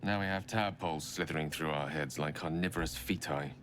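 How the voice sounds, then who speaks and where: An actor playing a sardonic, brooding wizard in a video game, with wry, measured and articulate delivery. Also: male voice, rumbling low, fairly bright tone, a little hiss or hum. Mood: sombre, musing. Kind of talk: video game dramatic dialogue.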